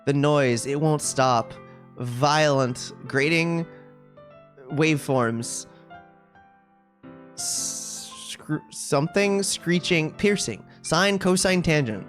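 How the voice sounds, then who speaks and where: A game streamer giving casual, halting live commentary and reading game text aloud expressively. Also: medium pitch. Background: music.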